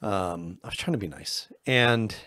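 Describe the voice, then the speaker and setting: A man's voice: lowish voice, animated, a little slow, bright, forceful tone, dry recording, clean studio sound. A man co-hosting a podcast, talking in a loose, rambling way and joking at his own expense. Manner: loose and rambling, with self-deprecating jokes. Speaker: podcast co-host. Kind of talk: casual podcast chat.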